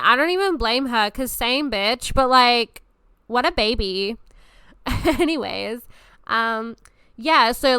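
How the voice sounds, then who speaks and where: A woman's voice: on the high side, sharp tone, clean tone, no room sound. A woman in her twenties hosting a podcast, talking in a casual, rambling and gushing way, swearing often. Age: 20s. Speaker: podcast host. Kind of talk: casual monologue.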